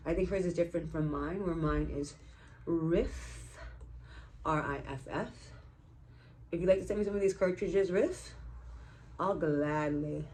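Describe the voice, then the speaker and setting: Canadian lady, lowish voice, rambly casual movie chat on a vlog, bit of background noise. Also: measured pace, long pauses, a little mellow, poor audio. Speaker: co-reviewer in a vlog. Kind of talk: casual chatty movie review.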